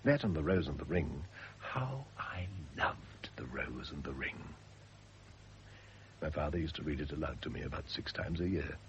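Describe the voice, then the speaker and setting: Radio play, male gentle doomed husband, reflective and literary, deep register, slightly noisy old recording. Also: animated, normal speed. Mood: wistful, tender. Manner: literary, reflective, tender, with whispered drops. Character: condemned husband, gentle, reflective, calmly facing death. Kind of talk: classic radio drama acting.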